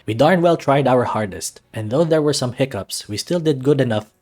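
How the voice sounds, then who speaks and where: A man voicing a small, gruff but big-hearted soccer coach in a visual novel, with a loud, emphatic, barking delivery. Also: mid-range voice, lively intonation, normal speed, faint music behind, voice pushed up, lightly acted. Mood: rousing, proud.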